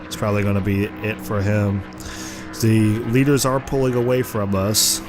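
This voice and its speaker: A man's voice: fairly low pitch, normal speed. Congested man calling a racing game. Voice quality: rough, congested voice. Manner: excited play-by-play, rising sharply at crashes. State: hoarse and congested from the flu. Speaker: gaming commentator. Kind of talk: casual racing game commentary. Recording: game music and car noise underneath. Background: racing game engine noise and music.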